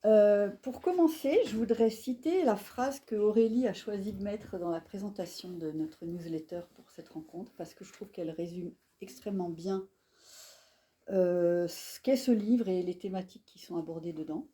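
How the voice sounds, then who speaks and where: A woman's voice: mid-range voice, normal speed, dark tone, slight background noise. A woman hosting a live author event in a bookshop, presenting a novel with enthusiastic, admiring remarks. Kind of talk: live book event introduction.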